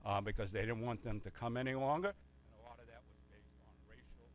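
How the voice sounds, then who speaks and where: A man's voice: medium pitch, brisk. A man, a history professor, giving a plain-spoken public lecture at a church forum on a microphone. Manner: plain-spoken, self-deprecating opening, steady explanatory delivery. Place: church meeting room. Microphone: handheld or stand microphone.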